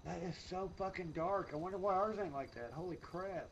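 A man's voice: high voice, a little fast, a crowd in the background, poor audio. A man chatting casually during a gaming livestream, folksy and exclamatory, with some swearing. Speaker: gamer on a livestream.

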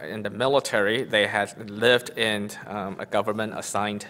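Low, bright-voiced man interpreting a sermon. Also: level tone, a little hiss or hum. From Taiwan. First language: Taiwanese. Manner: halting, self-correcting phrasing. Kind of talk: live English interpretation of a sermon. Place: church pulpit. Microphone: lectern microphone.

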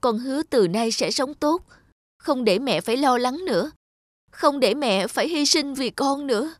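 A woman voicing a remorseful, emotional young man, a pleading son in an animated fairy tale. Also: sits high, normal speed, no echo, clean studio sound.

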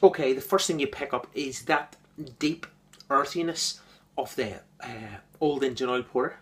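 A man giving an enthusiastic, casual beer review, repeating words and filling pauses with ums. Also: medium pitch, fairly bright tone.

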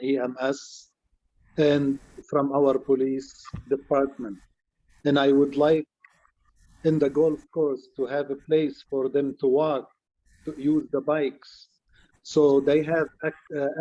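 A male council candidate answering at a public forum in plain, halting short phrases. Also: medium pitch, dark tone. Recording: dull, lo-fi forum recording.

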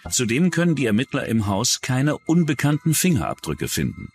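Man narrating true crime with lively intonation. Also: mid-range voice, normal speed, faint music behind. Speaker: documentary narrator.

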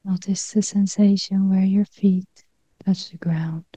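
Soft, very slow, breathy woman meditation guide. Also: medium pitch, fairly even intonation, dry recording. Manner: gentle, breathy near-whisper, long pauses between short phrases.

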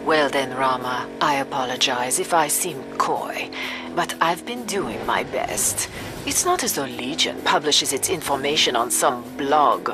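An imperious female agency boss in a video game. Her voice is extremely low, her delivery cool, firm and condescending. Music is clearly audible behind her. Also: unhurried.

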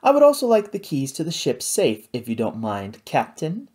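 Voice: mid-range voice, huge pitch swings, normal speed, a little mellow, husky. A reader voicing a theatrical male pirate captain who speaks in a grand, mock-polite way. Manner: grand, mocking, showy delivery.

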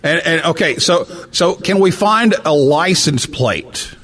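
A man hosting a live radio talk show from a hotel, talking in a halting way with many fillers and restarts. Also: on the high side, expressive, fairly bright tone. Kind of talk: live call-in show banter. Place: hotel room during a convention.

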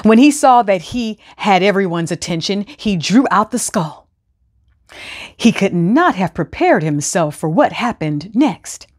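A middle-aged woman narrating a children's story aloud in a theatrical, expressive way, close on a lapel mic. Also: mid-range voice, a little slow. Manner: theatrical, animated.

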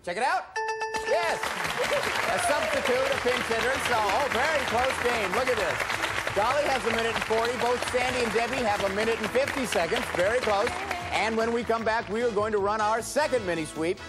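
A middle-aged man hosting a studio game show, talking in a brisk, cheerful, emphatic way. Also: extremely high pitch, over a noisy crowd. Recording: broadcast with loud music and studio audience.

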